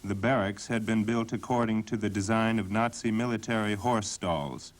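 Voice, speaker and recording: A man with a fairly low voice delivers scripted documentary narration. His reading is measured and sober, in the role of narrator. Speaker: documentary narrator and voice-over translator.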